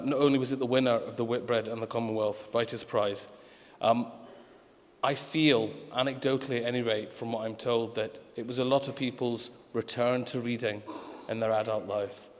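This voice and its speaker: A man hosting a live event, giving a spoken introduction in a halting but emphatic way, building up with repeated phrases. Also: mid-range voice. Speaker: event host introducing an author. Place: large echoing venue at a live event. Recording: reverberant hall, live stage event.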